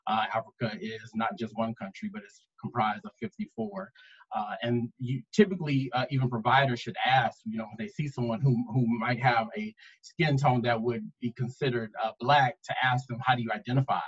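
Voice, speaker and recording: A man speaking earnestly as a panelist in a webinar discussion, explaining at length with pauses. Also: medium pitch, breathy edge, dull audio. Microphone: video call.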